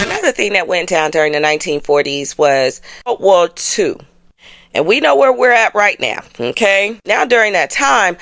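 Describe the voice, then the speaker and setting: A woman's voice: medium pitch, fairly bright tone, no echo. An American woman giving casual astrology commentary as a show host, speaking in a colloquial, slangy, emphatic way and often checking in with listeners.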